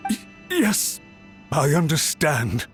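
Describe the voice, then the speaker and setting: A man's voice: a little high, very animated, slow pace, soft background music. A man voicing an anxious, apologetic clan official in a video game, formal and deferential as he pleads. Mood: nervous, submissive. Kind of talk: dramatic video game voice acting.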